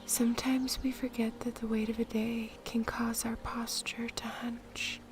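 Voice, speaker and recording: Whispering woman guiding a slow meditation. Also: fairly high pitch, level tone. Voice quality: breathy, whispery. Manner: soothing, gentle, measured. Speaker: meditation guide. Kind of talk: guided meditation, soft-spoken narration. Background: music.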